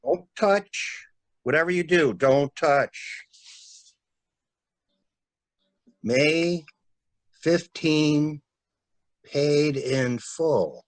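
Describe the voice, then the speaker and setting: A man acting a brisk, take-charge stranded traveler in a dramatic mystery reading. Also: a little high, no room sound. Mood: firm, commanding. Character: male stranded traveler, brisk, commanding, takes charge at crime scene. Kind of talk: dramatic reading of a stage-style murder mystery.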